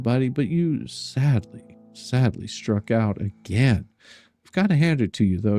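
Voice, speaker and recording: A man's voice: mid-range voice, dark tone. An older man hosting a stream, reading a letter aloud in a sarcastic, mocking and theatrical way. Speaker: streamer and host.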